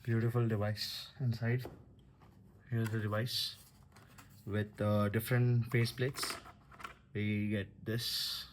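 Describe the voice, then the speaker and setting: A man giving casual, halting commentary as a vlogger unboxing a product. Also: fairly low pitch, little pitch movement, slight background noise, low-quality recording.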